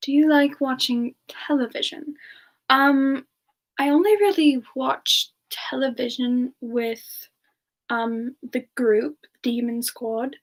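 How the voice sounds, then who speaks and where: An affectionate frost demon girl acted by a woman chats with fans in a semi-ASMR style. Her voice is high and soft, edging toward a whisper. She takes her time, hesitating gently as she answers. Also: full character voice. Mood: relaxed, chatty. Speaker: ASMR character performer answering viewer questions. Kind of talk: semi-ASMR in-character livestream Q&A.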